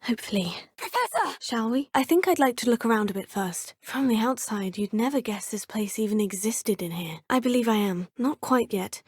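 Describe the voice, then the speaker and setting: Young student witch for a game: polite, measured, slightly high voice, dry studio sound. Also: animated, husky, dry recording. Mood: calm, polite. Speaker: player character. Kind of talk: video game voice lines.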